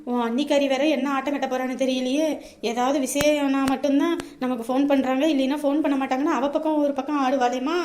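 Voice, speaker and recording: A woman's voice: high voice, level tone, fast talker, a little mellow, lightly acted. A woman playing a protective older village mother in a family drama, fussing and plain-spoken. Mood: fretful grumbling. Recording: roomy, echoing sound.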